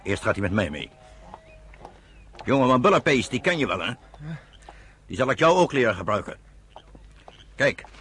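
A man's voice: medium pitch, big pitch swings, moderate pace, long pauses, a bit bright, slightly rough. An actor playing a stern, proud farmer father in a Dutch radio drama, speaking in an emphatic, commanding way. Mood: stern, instructing. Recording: noisy old radio play recording.

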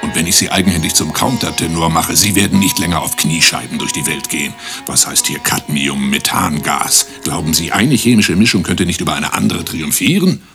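A very deep, gravelly professor, bombastic and domineering. He declaims and exhorts like a grandiose quack in a satirical radio play, with music behind. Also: fairly quick, fairly bright tone. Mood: insistent.

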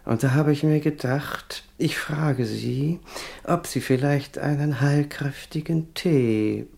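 An actor playing a meek but persistent small-time peddler in a German radio drama, hesitant and insinuating. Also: male voice, on the high side, takes it slowly, vocal fry, darkish tone. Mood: coaxing.

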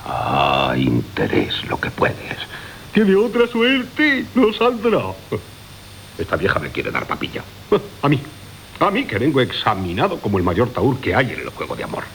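An actor playing a swaggering, wheedling rogue suitor in a theatrical radio comedy, declaiming in Castilian Spanish with sly muttered asides. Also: male voice, on the low side, huge pitch swings, moderate pace, gravelly, a bit bright, heavily acted, rough recording. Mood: sly, muttering. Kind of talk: theatrical Golden Age comedy radio acting. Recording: hissy 1980 radio drama recording.

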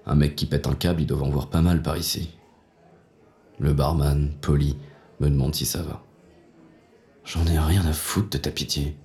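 An actor playing a bitter, world-weary police inspector in a noir audio drama, gruff and slangy as he narrates his own story. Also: fairly even intonation, normal speed, long pauses, a little mellow. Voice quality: very deep, gravelly. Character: jaded, hard-boiled male police inspector, bitter and shaken.

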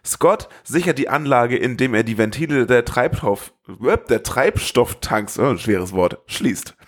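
Brief: male podcast co-host, mid-pitched, scripted movie retelling with playful asides. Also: measured pace. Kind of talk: scripted film recap narration with playful asides.

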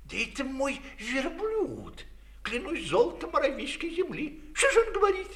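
A man's voice: extremely high pitch, wildly swooping pitch, normal speed, a bit bright, voice pushed up, full character voice. A man voicing a lordly djinn of the desert in a fairy tale, sly, mock-polite and scolding. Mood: amused, curious.

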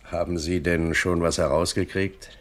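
An actor playing a grumbling but hospitable small-town householder, chatting wryly in a radio crime drama. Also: male voice, low voice, fairly even intonation, moderate pace, slight background noise, lightly acted.

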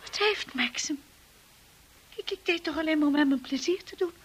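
An actress playing a timid, earnest young wife who tells her own story in a radio drama, with a husky, breathy voice. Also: sits high, normal speed, natural voice. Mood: hurt, pleading. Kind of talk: radio drama acting and first-person narration.

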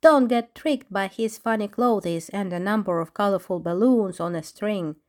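A woman's voice: mid-range voice, moderate pace, a little mellow, close to an everyday voice. A woman voicing a frightened little wooden doll in a storybook, urgently warning.

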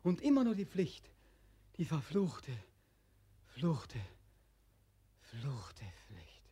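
A man's voice: a little high, sing-song, very slow, mellow, husky, full character voice. A man acting a haunted, despairing returned soldier in a 1940s German radio play, brooding and intense, swinging between whispers and outcries. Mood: bitter, sardonic.

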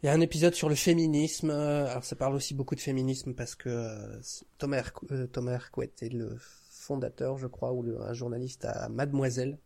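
A man's voice: mid-range voice, little pitch movement, brisk, gravelly, warm tone. A man chatting casually as a podcast guest, hesitant and searching for his words. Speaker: podcast guest, audio drama creator. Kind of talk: casual chat.

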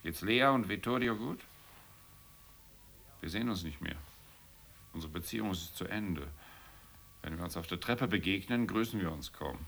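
A man's voice: low-pitched, lively intonation, moderate pace, gravelly, pauses often, fairly bright tone, heavily acted. An actor playing a weary, middle-aged middle-class man who grumbles and muses wryly in a naturalistic radio drama. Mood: weary, musing.

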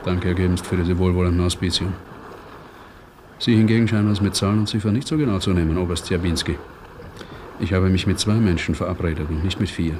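A man's voice: low-pitched, fairly even intonation, quick pace, noisy background, natural voice. A man playing a curt, coldly formal British naval commander with precise, sarcastic politeness in a German radio play. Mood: irritated sarcasm.

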